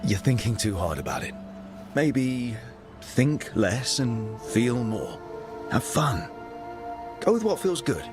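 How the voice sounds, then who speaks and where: A man playing an easygoing, encouraging, gently teasing adventuring hero in a video game, speaking naturally. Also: mid-range voice, very animated, takes it slowly, long pauses. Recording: game music behind the voice. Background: game music.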